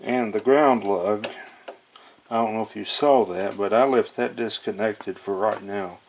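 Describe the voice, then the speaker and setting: A man's voice: fairly low pitch, a little slow. A man giving casual, unscripted narration of a hands-on repair, folksy and hesitant as he thinks aloud. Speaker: hobbyist demonstrating an electronics repair. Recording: noticeable background noise with clicks.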